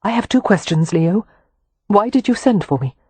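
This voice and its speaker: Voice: mid-range voice, expressive, moderate pace, mellow, slightly rough, no echo, pitched above the performer's own voice. A narrator voicing a composed young woman who grows urgent. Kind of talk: audiobook character voice.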